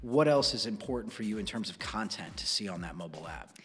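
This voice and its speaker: A man's voice: fairly low pitch, animated, fast, fairly bright tone, big-room sound. An American man in his thirties presenting and discussing as a podcast host in a conversational way. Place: small dark indoor studio. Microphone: close broadcast studio microphone on boom arm.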